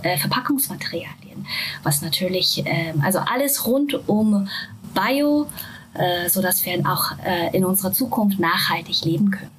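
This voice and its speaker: A woman from Japan with a Japanese accent, a venture capital partner speaking halting German full of fillers and English words in a casual interview. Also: medium pitch, a bit bright, slight background noise, low-quality recording.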